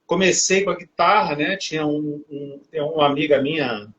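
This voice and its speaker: A man's voice: a little high, moderate pace, a bit bright. A man in his thirties hosting a casual interview, chatty and often adding tag questions. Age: 30s. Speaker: host. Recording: dull lo-fi audio.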